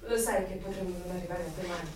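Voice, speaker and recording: A woman's voice: lowish voice, quick pace, darkish tone, distant mic, slight background noise, close to an everyday voice. Actress as blunt rebel woman, very echoey. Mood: tense, frank. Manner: blunt, scornful, coarse-mouthed. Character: bold, cynical, teasing young rebel woman. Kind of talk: naturalistic Italian radio drama acting. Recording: echoey, off-mic radio play over music.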